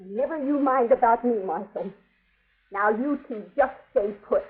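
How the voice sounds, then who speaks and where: A woman's voice: on the high side, measured pace, heavy background noise, lightly acted. A woman playing a tough, proud sixteen-year-old runaway farm girl in an old radio western, with a sassy rural Western American drawl. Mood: threatening. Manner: sassy, folksy, ungrammatical drawl. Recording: muffled, narrow-band old radio recording.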